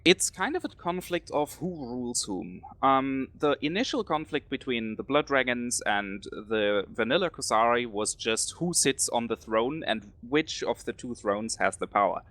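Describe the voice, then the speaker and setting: A German-accented man answers questions in a casual chat. His voice is bright and forward. He pauses often and fills the gaps with ums. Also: medium pitch, expressive. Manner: hesitant, many ums, laughs easily. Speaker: guest, faction leader.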